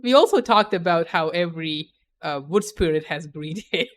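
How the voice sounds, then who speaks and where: A woman's voice: on the low side, lively intonation, normal speed, a little hiss or hum. A young adult woman chatting casually on a stream, playful and opinionated, laughing often.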